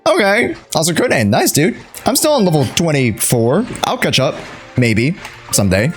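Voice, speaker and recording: A man's voice: a little high, sing-song, moderate pace, soft background music. A man giving casual, slangy, goofy commentary on a game playthrough as a streamer, sometimes reading dialogue aloud. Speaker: gaming streamer.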